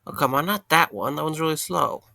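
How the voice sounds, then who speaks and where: Casting: young male night guard played by a man, creaky voice, sarcastic, caring, very dry recording. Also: fairly high pitch, animated, fairly quick, bright, forceful tone, no echo, heavily acted. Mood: teasing. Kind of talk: audio drama acting.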